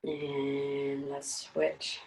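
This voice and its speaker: A woman fitness instructor with a sleepy morning voice, calmly leading a warm-up class over a video call. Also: very deep voice, very slow, reverberant, recorded from a distance, slight background noise. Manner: calm instructions with light laughs.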